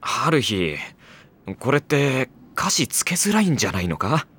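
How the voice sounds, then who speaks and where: A man playing a sardonic, long-suffering high-school boy who narrates and argues dryly in an anime audio drama. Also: moderate pace, a little hiss or hum. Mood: exasperated objection. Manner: dry, wry, deadpan delivery. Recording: music behind the voice.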